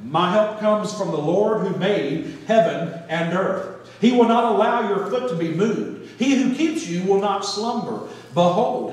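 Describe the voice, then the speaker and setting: A middle-aged man preaching a sermon in an earnest, folksy manner with emphatic pauses. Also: high-pitched. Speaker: pastor. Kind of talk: sermon with scripture reading. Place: church sanctuary, speaking from pulpit and floor. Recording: echoey church hall, distant mic, faint noise.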